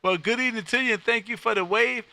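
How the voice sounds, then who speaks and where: A man hosting an amateur radio livestream, chatting casually with a loud, folksy showman's manner. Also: very high-pitched, fast talker, gravelly, no echo. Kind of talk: casual radio contact chat. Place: home radio room.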